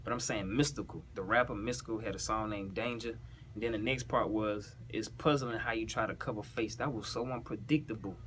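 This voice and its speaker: A man with a Southern US accent speaks in a fairly low voice. He talks casually in heavy slang, explaining and reciting rap bars and checking that the listener follows. The recording carries faint ambient noise. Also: steady tone, brisk, fairly bright tone. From South Carolina, US. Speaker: battle rapper breaking down their own bars.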